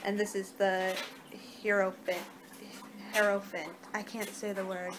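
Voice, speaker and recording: A young woman doing an ASMR card-reading role-play with a halting, unhurried delivery. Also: mid-range voice, flat delivery. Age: young adult. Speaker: ASMR performer in a fortune-teller role-play. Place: small home room, indoors. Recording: noisy amateur webcam-style room recording.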